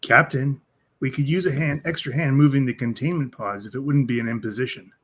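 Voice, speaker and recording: A man's voice: mid-range voice, steady tone, fairly quick, a bit bright, husky. A man playing a deferential junior ensign in a radio play, polite and hesitant.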